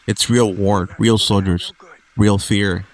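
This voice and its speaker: A man's voice: lowish voice, steady tone, slow pace, low-quality recording. A man delivering scripted video essay narration as a documentary narrator, with emphatic lists and dramatic short phrases.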